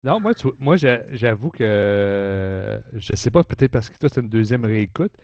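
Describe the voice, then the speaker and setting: A man's voice: on the low side, expressive, a little slow, a little mellow, no echo, lo-fi sound. A man with a Quebec French accent hosting a casual review chat in an informal, colloquial way. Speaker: podcast host. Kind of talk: casual chat, book and audio drama review.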